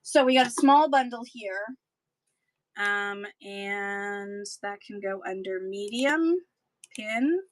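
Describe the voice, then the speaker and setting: A woman selling books in a chatty live-stream auction, her voice getting hoarse, with playful sing-song asides. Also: mid-range voice, slow, no echo, poor audio.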